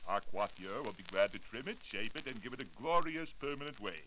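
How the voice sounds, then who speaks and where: An obsequious male salon salesman in a 1940s radio comedy sketch. His delivery is effusive and persuasive, swooping up and down as he flatters the customer. The old recording carries a light hiss. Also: on the low side, brisk, poor audio. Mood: ingratiating. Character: fawning, smooth-talking male beauty salon salesman.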